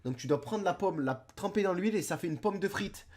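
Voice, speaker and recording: A man's voice: sits high, animated, fairly quick, slight background noise. Stuffy-nosed young man joking around. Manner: rambling, playful slangy absurd jokes. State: stuffy nose from a cold. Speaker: video commentator. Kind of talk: comic reaction commentary.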